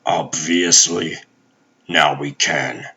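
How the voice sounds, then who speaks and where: A man's voice: rumbling low, steady tone, extremely slow, pushed and bright, husky, exaggerated voice. A man voicing a matter-of-fact scientist in a comic dub, explaining findings plainly. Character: very deep-voiced, matter-of-fact male scientist. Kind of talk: fan comic dub voice acting.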